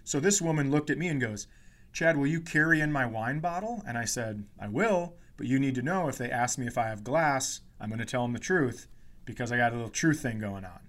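A man's voice: mid-range voice, animated, a little fast, fairly bright tone. A man who hosts a podcast as a comedian, telling stories casually with swearing, mock indignation and quoted dialogue.

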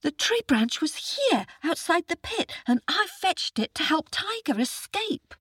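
A woman's voice: very high-pitched, very animated, measured pace, a bit bright, no room sound, clean studio sound, pitched above the performer's own voice, exaggerated voice. A woman voicing an earnest young boy who pleads about fairness. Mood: pleading. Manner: pleading, indignant.

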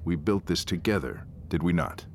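Domineering tech businessman, middle-aged, low voice, swooping intonation, condescending, theatrical, audio drama. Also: lively intonation, normal speed, slight background noise. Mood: angry, controlling. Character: domineering, mocking middle-aged male tech investor partner. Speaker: business partner.

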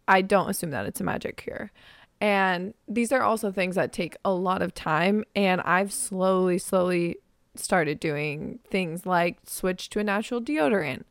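Raspy-voiced young woman podcasting. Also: mid-range voice, no room sound, studio quality. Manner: chatty, filler-heavy, frank. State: raspy, losing her voice. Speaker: podcast host. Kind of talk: casual solo podcast chat.

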